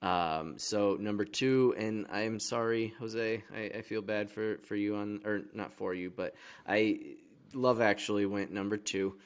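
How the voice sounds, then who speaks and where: Male co-host, lowish voice, casual conversation, wry, teasing delivery. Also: fairly even intonation, measured pace, clean tone. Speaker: podcast co-host. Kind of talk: casual chat.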